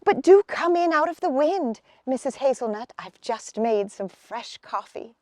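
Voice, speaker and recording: A woman's voice: high voice, expressive, unhurried, darkish tone, no room sound, clean studio sound. A woman voicing a fussy, fretful acorn mother in a storybook, complaining dramatically. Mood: exasperated.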